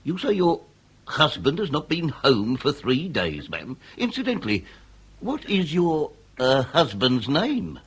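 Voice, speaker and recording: An actor playing a pompous, wordy Victorian police inspector with an English accent and theatrical, drawn-out emphasis, in a period comedy radio drama. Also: male voice, fairly high pitch, huge pitch swings, breathy edge, full character voice. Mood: probing, emphatic. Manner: theatrical, orotund, drawn-out emphasis with occasional stammer.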